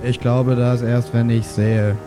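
Dude playing tabletop rpg, chatting casually, medium voice, music in the background. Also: male voice, mid-range voice, flat delivery, unhurried. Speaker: player in a tabletop game podcast. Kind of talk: casual game-table chat.

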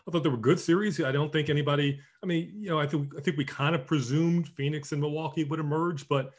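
A man's voice: on the high side, fairly even intonation. A man co-hosting a sports podcast, talking casually and often restarting his sentences. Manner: halting, many restarts. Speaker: podcast co-host.

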